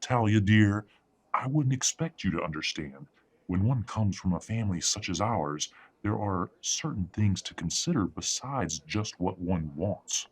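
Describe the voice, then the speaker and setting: A man's voice: fairly low pitch, expressive, a little slow, slightly rough, heavily acted. A man playing a middle-aged, imperious werewolf patriarch in an audio drama, speaking formally and condescendingly as he controls his family. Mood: smooth condescension. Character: imperious wealthy werewolf patriarch, coldly polite, controlling father. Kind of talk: scripted audio drama acting.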